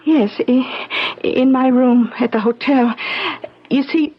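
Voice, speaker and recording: Weak, groggy assistant, slow and high. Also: female voice, breathy edge, heavily acted. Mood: weak, puzzled. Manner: halting, stammering, trailing off. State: weak and drowsy. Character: weak, groggy young female assistant recovering from poisoning. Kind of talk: 1970s radio drama acting. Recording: dull old 1970s radio broadcast.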